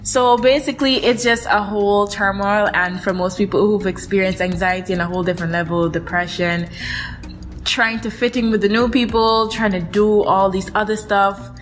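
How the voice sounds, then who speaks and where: A woman's voice: mid-range voice, steady tone, moderate pace, soft background music. A woman in her twenties co-hosting a podcast in casual chat. She laughs often and rambles playfully. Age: 20s. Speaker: podcast co-host.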